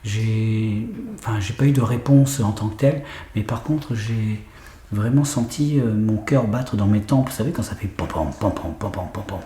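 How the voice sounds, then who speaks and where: Man with a cold, mellow voice. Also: lowish voice, normal speed, echoey room. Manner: hesitant with many fillers, sometimes whispering. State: has a head cold. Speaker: paranormal investigator vlogger. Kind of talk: hesitant talk to camera. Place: large room in an old house, indoors.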